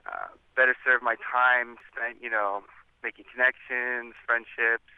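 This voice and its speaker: Caller, man in his twenties, earnest and halting, phone-line sound, smooth tone. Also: mid-range voice, fairly even intonation, no room sound. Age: 20s. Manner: earnest, halting, many fillers. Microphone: telephone.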